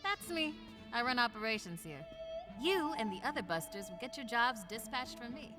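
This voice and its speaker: Actress as wry operations manager in a game, businesslike, higher lively voice, long reverb and music behind. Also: female voice, normal speed, lightly acted. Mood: brisk, businesslike. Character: brisk, dry female operations manager of ghost hunters.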